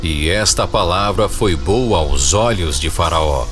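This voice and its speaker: A man's voice: sits low, slow, fairly bright tone, soft background music. A man narrating a dramatized audio Bible in a scripted storytelling style.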